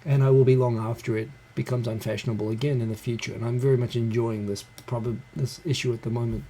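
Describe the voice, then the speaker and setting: Man, New Zealand accent, dark mellow tone, rambling self-deprecating chat, close handheld mic. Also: medium pitch, steady tone, rough recording. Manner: rambling, self-deprecating, talks fast with restarts. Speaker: livestream host. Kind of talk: casual solo live chat. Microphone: handheld USB recorder mic close. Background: computer fan hum.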